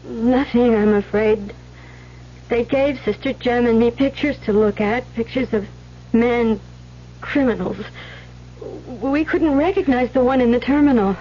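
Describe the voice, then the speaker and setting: An actress playing a meek, troubled young nun in an old radio drama, speaking in an earnest, hesitant, pleading way on a muffled recording. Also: female voice, fairly high pitch, measured pace, a little hiss or hum, natural voice. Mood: subdued, worried.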